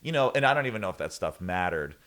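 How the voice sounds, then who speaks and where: Very deep-voiced man, rambling storyteller. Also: sing-song, fast talker, a bit bright. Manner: rambling, self-interrupting storyteller. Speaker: guest singer. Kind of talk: casual interview chat.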